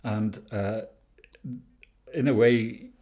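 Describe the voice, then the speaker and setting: A man's voice: lowish voice, extremely slow, darkish tone. A Welsh man interviewing a guest, speaking in a hesitant way with frequent fillers and restarts. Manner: hesitant, many uhs and restarts. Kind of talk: conversational interview.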